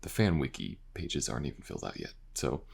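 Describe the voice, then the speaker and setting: A man with an extremely low voice that has a creaky edge. He chats casually to his listeners as the creator signing off after a piece of audio. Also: big pitch swings, brisk. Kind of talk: casual outro chat to listeners.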